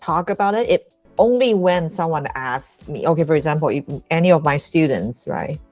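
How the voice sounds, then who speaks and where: A woman co-hosting a casual chat, asking measured questions and listening attentively. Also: sits low, animated, darkish tone, dry recording, soft background music. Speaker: English teacher, co-host.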